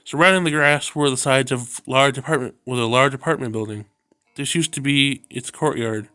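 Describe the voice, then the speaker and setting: A man reading a visual novel aloud, telling the story in a subdued, steady way. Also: medium pitch, moderate pace, clean tone, no room sound, studio quality. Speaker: video game narrator.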